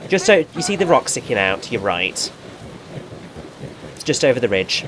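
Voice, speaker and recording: A man with a naturally dry, deadpan voice, giving directions and chatting over a game. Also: mid-range voice, normal speed, music in the background, poor audio. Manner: guiding, precise, rambling over-explainer. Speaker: co-commentator. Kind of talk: casual let's-play chat.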